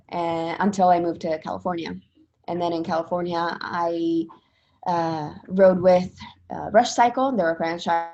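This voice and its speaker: A young woman, chatting in an interview. Her voice sits a little low, and she rambles loosely, full of fillers and self-corrections. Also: darkish tone, lo-fi sound. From Italy. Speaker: interviewee, spin instructor. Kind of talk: casual interview talk.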